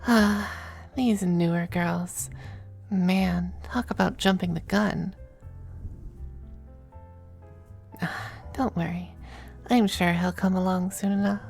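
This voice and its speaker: A woman playing a possessive, doting, androgynous male demon lover in an intimate ASMR roleplay, speaking softly and often slipping into whispers. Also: on the low side, fairly even intonation. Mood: playful, languid. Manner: soft, breathy, unhurried, often dropping to whispers. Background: faint music.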